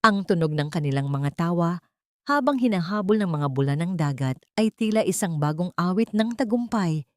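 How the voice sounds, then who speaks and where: Low-voiced woman narrating a sentimental story. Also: darkish tone, no echo, studio quality.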